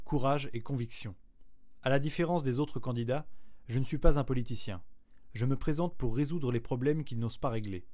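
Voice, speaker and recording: Guy reading a document out loud, mellow warm tone, slow steady delivery that gets punchy on key bits. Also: mid-range voice. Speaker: reader. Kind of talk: reading a printed document aloud.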